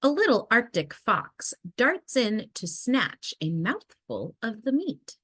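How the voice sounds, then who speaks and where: A woman reading a children's nonfiction picture book aloud in a measured, expressive storytelling way. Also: on the high side, breathy edge, no echo. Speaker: read-aloud narrator.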